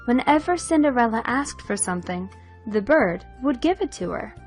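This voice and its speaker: A woman narrating a scripted fairy tale for children in an expressive, measured storytelling manner. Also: on the high side, soft background music.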